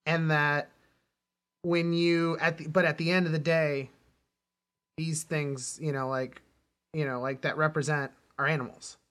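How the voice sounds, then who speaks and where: Bright-voiced man talking films, halting delivery. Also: on the high side, level tone, clean tone. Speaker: podcast co-host. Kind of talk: casual film discussion.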